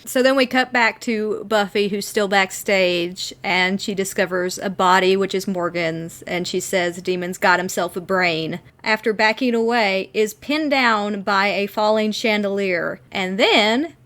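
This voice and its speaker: A woman's voice: medium pitch, unhurried, few pauses, a bit bright. Giggly, enthusiastic woman podcaster. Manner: enthusiastic, giggly fan talk. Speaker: podcast co-host. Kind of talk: casual chat, TV episode recap.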